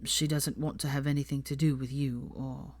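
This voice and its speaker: A woman voicing an anxious young man, speaking low and breathy. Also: level tone, moderate pace, a little mellow, no room sound. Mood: troubled. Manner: low, subdued, breathy delivery.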